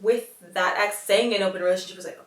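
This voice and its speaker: A woman chatting casually as a vlog co-host, relaxed and slangy, giving her view over a glass of wine. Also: mid-range voice. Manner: relaxed, slangy, quick to laugh. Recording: roomy sound with faint music.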